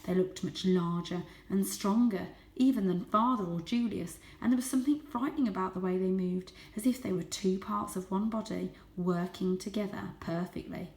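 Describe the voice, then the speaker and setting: A woman reading a children's book as dramatic narration, lingering on key words and hushing for suspense. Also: medium pitch, normal speed, few pauses. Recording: roomy, echoey sound.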